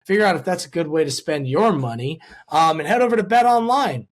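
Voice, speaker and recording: A man's voice: a little high, expressive, brisk. A man hosting a sports podcast, talking casually and informally with an upbeat fan's manner. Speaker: podcast host. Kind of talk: casual podcast intro and ad reads.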